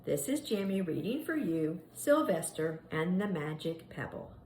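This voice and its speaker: Animated woman reading aloud in an echoey room. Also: on the low side, a little slow. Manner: sweet, dramatic storytelling for young children. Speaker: storybook reader. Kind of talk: expressive read-aloud of a children's picture book. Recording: echoey room with faint background noise.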